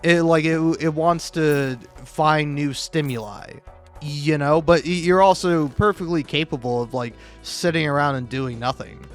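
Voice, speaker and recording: A man's voice: on the high side, unhurried. A man rambling casually on his own as a video creator, self-deprecating and joking, with stammered false starts and plenty of swearing. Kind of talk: rambling casual solo commentary. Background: background music.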